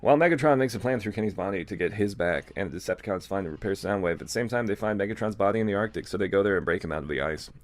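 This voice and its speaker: A man's voice: low-pitched, lively intonation, rapid-fire, hardly any pauses. A man reading fan fiction aloud as a co-host, with casual, amused, irreverent asides.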